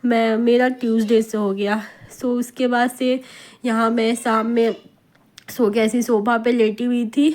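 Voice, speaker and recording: Hoarse woman narrating her vlog. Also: on the high side, a little mellow. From India. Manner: chatty, rambling, mixes English words into Hindi. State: hoarse with a cold. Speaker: vlogger. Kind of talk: casual voice-over narration.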